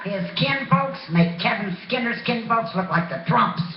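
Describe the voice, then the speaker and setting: A woman's voice: fairly high pitch, lively intonation, measured pace, reverberant, highs cut off, soft background music. A woman over sixty performing brash, sassy stand-up comedy with punchy delivery, recorded off a television. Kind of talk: live stand-up comedy routine. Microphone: handheld stage microphone, re-recorded from TV speaker.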